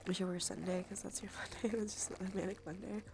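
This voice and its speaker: A woman streaming soft ASMR chat, often slipping into a whisper, sniffly with allergies and a sore throat, casual and affectionate with some swearing. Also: mid-range voice, steady tone, brisk, over music. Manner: breathy, frequent drops into whisper. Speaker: livestream host.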